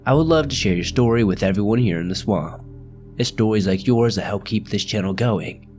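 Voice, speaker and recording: A man hosting a scary story channel, reading ghost stories aloud in a slow, measured, hushed storytelling delivery. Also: on the low side, expressive, faint music behind. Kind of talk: scripted horror story narration.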